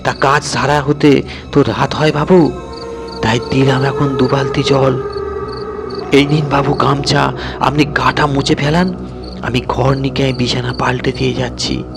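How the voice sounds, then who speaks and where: A man voicing a meek servant woman in a horror audio story, hushed, deferential and matter-of-fact in rustic dialect. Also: medium pitch, steady tone, measured pace, vocal fry, pauses often, over music.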